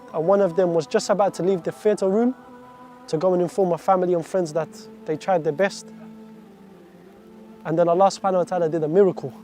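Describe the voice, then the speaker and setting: A man's voice: sits high, mellow. A man in his twenties from Iraq, giving an earnest, heartfelt faith testimony full of devout phrases. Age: 20s.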